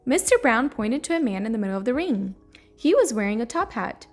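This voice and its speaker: A woman reading a children's story aloud in an expressive, storytelling manner. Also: on the high side, brisk. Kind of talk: children's read-aloud narration. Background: soft music.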